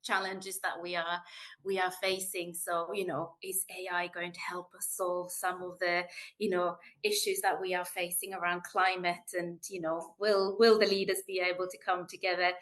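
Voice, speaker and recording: Podcast guest, woman from Finland, mid-pitched, friendly and reflective. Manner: friendly, thoughtful, some repeats. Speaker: guest, ESG manager. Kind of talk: conversational podcast interview.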